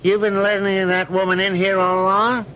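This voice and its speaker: An actor playing an irritable, suspicious husband, agitated and blustering, in a radio drama on a noisy, muffled old recording. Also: male voice, high-pitched, little pitch movement, moderate pace, pushed and bright. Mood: indignant.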